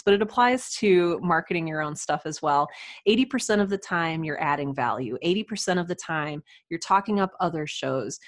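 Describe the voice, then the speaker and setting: Female podcast guest, slightly low voice, informal and enthusiastic, conversational delivery. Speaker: podcast guest, writer and audio drama producer. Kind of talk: casual interview chat.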